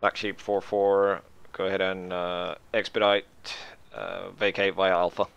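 A man acting as air traffic controller in a military flight game, giving clipped, halting, procedural radio calls. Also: deep voice, little pitch movement, creaky, fairly bright tone, smooth, dull audio.

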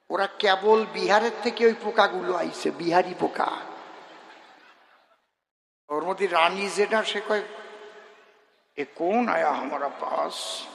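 A man's voice: high voice, vocal fry, a bit bright. An older man with a rural Bengali accent telling comic folk stories live on stage, earthy and teasing, addressing his audience directly. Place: live performance before a gathering. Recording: echoey live stage sound, faint noise.

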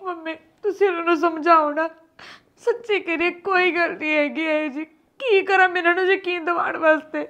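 A young wife accused of something she did not do, speaking, voiced by a woman. Her voice is very high and bright, and she pleads and protests through tears. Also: moderate pace, highs cut off. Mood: tearful. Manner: protesting, tearful.

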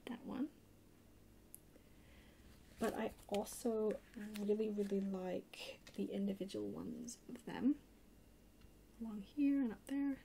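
A woman chatting softly in an ASMR haul video, hushed and often slipping into a whisper. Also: mid-range voice, vocal fry. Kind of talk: soft-spoken ASMR haul chat. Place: indoors at a table. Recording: noisy close home recording.

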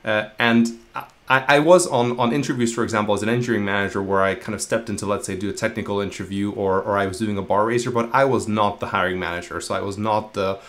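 A man in his thirties from Hungary, a guest in a video-call interview, talking in a quick, casual way with many restarts and repeated words. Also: on the low side, steady tone, fairly bright tone. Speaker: guest, engineering manager. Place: home room, video call. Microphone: earphone headset mic.

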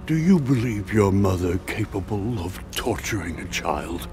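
An actor playing a solemn, measured middle-aged statesman in a video game. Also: fairly low pitch, lively intonation, natural voice. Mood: grave. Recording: game audio with music behind.

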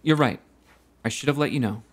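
An actor playing a driven, stubborn young husband and escape artist in an audio drama, earnest and insistent. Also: male voice, medium pitch, brisk, background noise, lightly acted. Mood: placating. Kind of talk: audio theatre acting.

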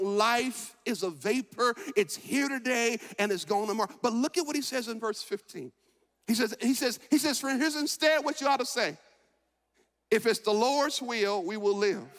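A man in his forties preaches from the pulpit with a high, ringing voice. He swings from loud, shouted declarations down to quiet, reflective talk and repeats words and phrases for emphasis. The sound carries the long reverb of a large church sanctuary. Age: 40s. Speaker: pastor. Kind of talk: sermon.

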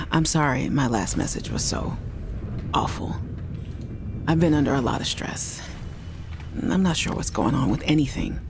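An actress playing a worried woman scientist who leaves halting, apologetic voice messages for her sister in a video game. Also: low voice, background noise. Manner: anxious, apologetic, halting restarts. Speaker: game character.

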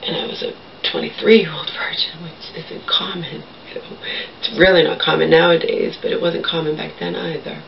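A woman's voice: low-pitched, flat delivery, moderate pace, gravelly, crowd noise. A woman in her thirties chatting casually to camera in a small bedroom, meandering and blunt, swearing freely. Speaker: vlogger. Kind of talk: rambling casual chat to camera. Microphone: camera across the room. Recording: distant, muffled camera audio.

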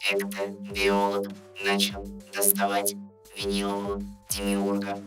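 A man's voice: on the low side, completely flat delivery, measured pace, music in the background, natural voice. A man playing a solemn, droning narrator of chat notices in a scripted webcomic reading.

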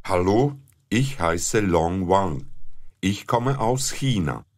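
A man reading German practice sentences and abbreviations slowly and evenly for learners. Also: sits low, expressive. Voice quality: plain, measured. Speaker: language course speaker. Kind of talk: slow scripted language drill.

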